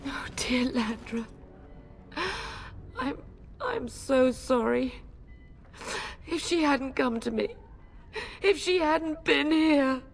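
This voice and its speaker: A woman's voice: high voice, expressive, slow pace, vocal fry, long pauses, full character voice. A woman playing a middle-aged noblewoman mother in a fantasy video game, commanding, earnest and formal, fierce in her grief. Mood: sorrowful. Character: fierce, grieving noblewoman mother of a besieged castle. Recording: dramatic music behind.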